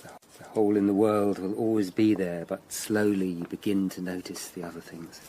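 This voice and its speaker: An actor playing a weary, driven investigator in a period radio drama, speaking earnestly and formally. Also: male voice, sits low, flat delivery, moderate pace, mellow, a little hiss or hum, lightly acted, low-quality recording. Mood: sombre.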